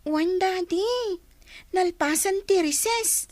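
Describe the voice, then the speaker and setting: A defensive little schoolgirl acted by a woman in a radio play. Her voice is extremely high and sounds close and dry. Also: slow, darkish tone, dry recording. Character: defensive young schoolgirl explaining herself to Daddy. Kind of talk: Ilocano radio comedy drama acting.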